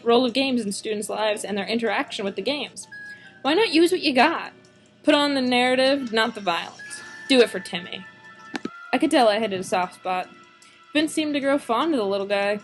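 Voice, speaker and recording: A woman's voice: a little high, lightly acted. Woman playing a tough hard-boiled noir detective. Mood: stern, urging. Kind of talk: amateur noir radio drama acting.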